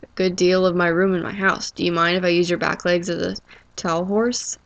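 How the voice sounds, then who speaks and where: A woman reading the lines of a polite, fussy male rabbit from a storybook, in a soft voice. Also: on the low side, fairly even intonation, fairly quick, gravelly. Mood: chatty.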